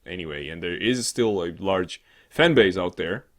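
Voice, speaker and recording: A man co-hosting a casual gaming talk show, rambling and halting, with an irreverent, sarcastic, sweary way of talking. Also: deep voice, lively intonation, noisy background. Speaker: co-host of a gaming news show. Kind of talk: casual chat about games news.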